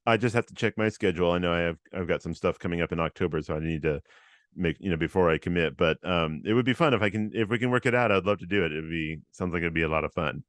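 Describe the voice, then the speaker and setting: A man with a loud, fairly low voice hosts a relaxed interview podcast. His tone is smooth and resonant, recorded close and dry. He chats in an upbeat, encouraging way, full of fillers. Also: fast talker, no room sound. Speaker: host. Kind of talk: casual interview chat.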